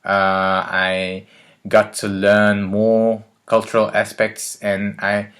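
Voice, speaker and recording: A man talking casually to camera about learning languages, pausing often with fillers and restarting his sentences. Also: lowish voice, completely flat delivery, fairly bright tone. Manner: hesitant, many fillers and restarts.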